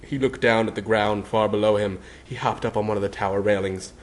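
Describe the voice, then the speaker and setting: A man's voice: lowish voice, steady tone, brisk. A man reading a scripted story as narrator, in a theatrical, mock-serious style. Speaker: narrator of a fan fiction reading.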